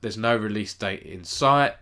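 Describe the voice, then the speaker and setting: A man's voice: lowish voice, unhurried, pushed and bright. Nasal man with a cold. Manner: rambling, self-correcting, chats with viewers. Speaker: esports news host. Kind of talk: casual live-stream news roundup.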